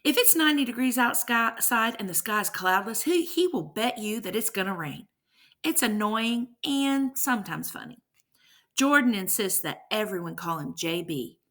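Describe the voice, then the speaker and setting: A woman's voice: a little high, expressive, moderate pace, fairly bright tone. A woman teacher reading a verse story aloud with rhythmic, emphatic delivery and brief explanations.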